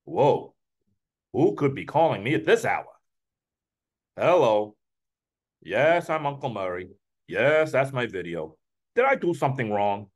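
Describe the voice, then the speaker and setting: A man voicing an excitable, starstruck adult uncle with a Brooklyn accent, reading a children's book aloud. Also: mid-range voice, unhurried, pauses often. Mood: anxious, puzzled. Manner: excitable, repetitive, incredulous.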